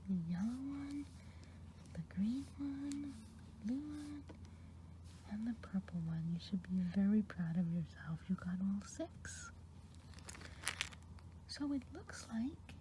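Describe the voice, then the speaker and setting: A woman voicing a kindly, chatty good witch of the woods, whispering softly and rambling in an ASMR roleplay. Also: mid-range voice, a little hiss or hum, close to an everyday voice, poor audio. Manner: soft whispering, rambling gossip. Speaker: ASMR performer. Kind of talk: ASMR roleplay eye exam.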